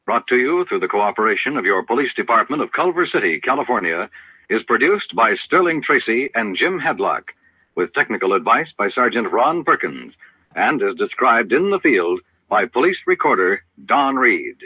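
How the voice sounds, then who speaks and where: Tinny, husky male radio announcer. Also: medium pitch, very animated, moderate pace, dry recording. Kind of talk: scripted 1950s radio closing credits. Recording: tinny, narrow old radio recording.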